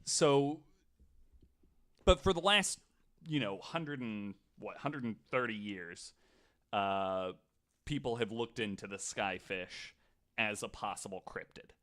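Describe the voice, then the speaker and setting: A man co-hosting a podcast, telling a story casually in a skeptical, wry, somewhat halting way. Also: lowish voice, a bit bright. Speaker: podcast co-host.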